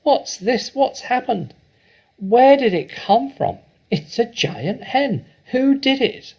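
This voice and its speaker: A man voicing a small, excitable father who gets worked up and shouts in a storybook reading. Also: very high-pitched, slow pace, gravelly, breathy edge, pitched above the performer's own voice, heavily acted. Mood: alarmed excitement. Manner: shouting, frantic exclamations.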